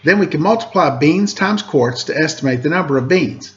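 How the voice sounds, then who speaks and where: A man's voice: fairly high pitch, animated, a little fast, fairly bright tone, dull audio. A man voicing an eager, earnest schoolboy in a children's storybook. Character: eager schoolboy who is good at math.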